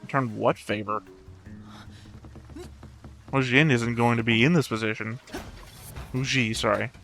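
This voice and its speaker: A man's voice: medium pitch, fairly even intonation, a little slow, creaky, a bit bright, faint music behind. Man giving casual, wry reaction commentary. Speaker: reaction show host.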